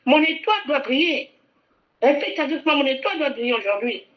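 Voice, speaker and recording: A woman's voice: sits high, expressive, fairly quick, lo-fi sound. A woman preaching a sermon fervently, repeating phrases and asking listeners to repeat after her.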